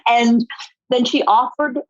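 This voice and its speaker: A middle-aged woman from Rhode Island, a choreographer telling stories in a casual interview, expressive and quick to laugh. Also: fairly high pitch, no echo, lo-fi sound. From United States, Rhode Island. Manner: animated, expressive storyteller who laughs often. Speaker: guest choreographer.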